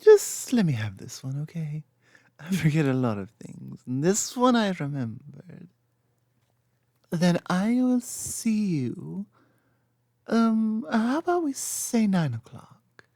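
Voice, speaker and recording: A woman playing an affectionate, teasing lamia girlfriend in an intimate ASMR roleplay, speaking softly and confidently with playful asides. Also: on the low side, sing-song, slow, no echo, studio quality, full character voice. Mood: affectionate, lighthearted.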